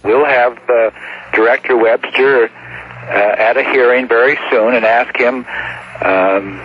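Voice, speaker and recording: An American man, a congressman, giving an unscripted press comment in a halting, hesitant way. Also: mid-range voice, steady tone. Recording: muffled, noisy news actuality.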